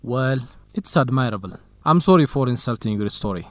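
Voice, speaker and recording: An actor playing a frank, easygoing young university researcher in a radio drama, conversational. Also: male voice, mid-range voice, animated, a little fast, dry recording, close to an everyday voice. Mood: frank, conciliatory.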